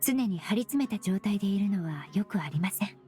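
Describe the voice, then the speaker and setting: A woman playing a composed, caring young perfumer who speaks with measured, formal politeness in a Japanese game. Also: mid-range voice, expressive, dark tone, faint music behind, close to an everyday voice. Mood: gently probing.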